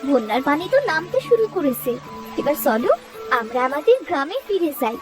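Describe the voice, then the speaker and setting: A woman voicing a worried village wife and mother in a cartoon story, fretful and expressive. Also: high voice, brisk. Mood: weary, lamenting. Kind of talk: dramatic cartoon story dub. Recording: loud music under the voice.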